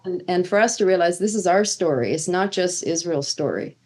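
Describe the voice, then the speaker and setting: Earnest Swedish-born woman professor, low voice. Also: lively intonation, a little fast, darkish tone. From Sweden. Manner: earnest, with frequent fillers and restarts. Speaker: seminary professor of Old Testament. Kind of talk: conversational interview answers.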